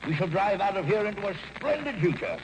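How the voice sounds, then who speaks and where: High-voiced pompous villain, noisy recording. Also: male voice, very animated, quick pace. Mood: grandly pleased. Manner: florid, prissy, theatrical. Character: pompous, fussy, squeamish male villain in a detective story. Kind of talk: old-time radio detective drama acting. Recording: noisy old radio recording.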